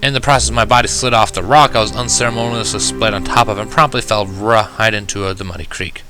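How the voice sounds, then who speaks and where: Music plays behind a man narrating a story in the first person. His voice sits fairly low but has a bright, forceful edge. He reads dramatically, with a sardonic, wry touch. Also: fairly even intonation, quick pace. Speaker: fan fiction reader.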